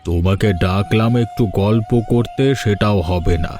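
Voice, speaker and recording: A lonely older father-in-law, voiced by a man in an animated family tale. He speaks gently and a little wearily, in a lowish voice. Soft music plays behind him. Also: moderate pace, natural voice. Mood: gentle. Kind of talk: cartoon voice acting.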